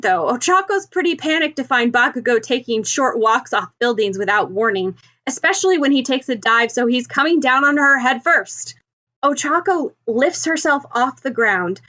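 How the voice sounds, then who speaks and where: A woman's voice: fairly high pitch, a bit bright. A woman reading scripted fiction aloud as the narrator of an audio story. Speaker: podfic narrator.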